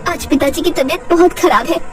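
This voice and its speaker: Woman voicing high, distressed young wife. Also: a little fast, close to an everyday voice. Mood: worried. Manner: high, emotional pleading. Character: worried, distressed daughter-in-law of poor household. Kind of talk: melodramatic Hindi cartoon voice acting. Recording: distant voice, music behind.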